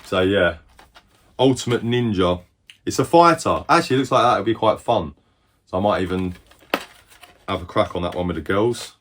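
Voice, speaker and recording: A middle-aged man casually showing off his game collection, rambling and correcting himself as he goes, a little hoarse. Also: fairly low pitch, lively intonation, moderate pace, long pauses, fairly bright tone, slight background noise. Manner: rambling, chatty, self-correcting. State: losing his voice. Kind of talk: casual collection show-and-tell.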